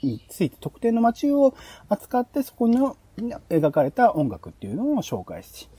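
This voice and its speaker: A man's voice: high-pitched, huge pitch swings, measured pace, mellow, breathy edge, no echo. A man hosting a podcast, explaining ideas casually and thinking aloud in long, loosely linked sentences. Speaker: podcast host. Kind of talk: casual explanatory monologue pitching show ideas.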